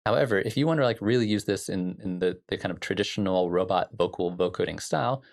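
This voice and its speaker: Guy teaching music production stuff, kinda low voice w lots of fry, casual explainer tutorial style. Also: fast. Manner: informal, explanatory.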